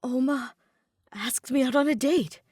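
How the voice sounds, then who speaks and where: A woman's voice: sits high, unhurried, a little mellow, breathy edge, dry recording, clean studio sound, pitched above the performer's own voice. A woman voicing a shy, awkward and polite teenage boy in an audio story. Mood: nervous admission.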